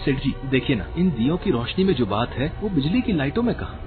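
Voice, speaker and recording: Man playing a humble, lively-voiced poor potter. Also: a little high, fairly quick, narrow, tinny audio, over music. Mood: humble, polite. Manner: polite, deferential. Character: humble, kind poor potter and loving father. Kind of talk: Hindi cartoon moral story dialogue.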